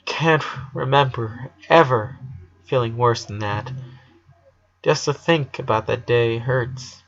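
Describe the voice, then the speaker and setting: Grieving young girl played by a male reader, storybook drama, halting confession, dull lo-fi sound. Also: medium pitch. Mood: quiet sorrow. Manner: halting, repeating words as if choked up. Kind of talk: storybook character reading.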